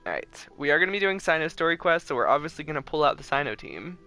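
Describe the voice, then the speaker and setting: A man chatting casually and excitably to viewers as the host of a gaming livestream. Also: on the high side, fast talker, soft background music.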